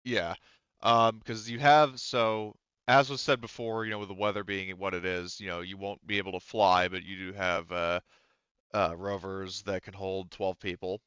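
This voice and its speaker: A man with a deep, bright, smooth voice runs a tabletop game as game master. He talks casually and haltingly, feeling his way through the details. The sound is close and dry, if slightly muffled. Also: level tone, dry recording. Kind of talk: narrating an online tabletop game.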